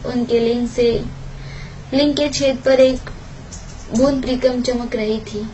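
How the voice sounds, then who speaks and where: A woman's voice: a little high, steady tone, takes it slowly, mellow. A woman reading a first-person erotic audio story aloud, occasionally stumbling and repeating words, on a noisy home-style recording.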